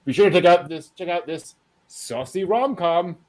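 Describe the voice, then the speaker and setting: A man's voice: high voice, animated, moderate pace, a little mellow. A man hosting a casual anime news show, chatting and joking with a wry, amused delivery.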